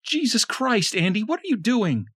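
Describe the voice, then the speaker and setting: A man voicing a fierce young wife, his voice pushed very high and shrill. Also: huge pitch swings, unhurried, breathy edge, no room sound, studio quality, heavily acted. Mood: alarmed.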